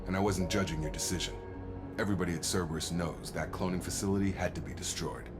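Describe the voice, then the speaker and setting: A man playing an earnest, loyal soldier in a video game, speaking in a direct, informal and steady way. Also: low voice, quick pace, over music, lightly acted. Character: earnest, loyal male soldier, plain-spoken and conscientious. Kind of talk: video game cutscene acting.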